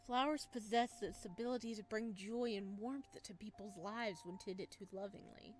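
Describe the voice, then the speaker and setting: A woman reads a spooky visual novel aloud, mixing narration with her own quick comments. Her voice sits at a middling pitch and jumps suddenly higher when she reacts. A steady noisy hiss runs underneath. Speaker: game streamer.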